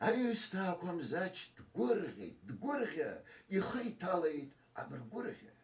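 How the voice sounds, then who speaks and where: A man's voice: sits high, very animated, slow pace. A man, a voice actor talking casually and reading verse at a cartoon session. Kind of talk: casual talk and verse read-throughs between takes. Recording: roomy, distant microphone.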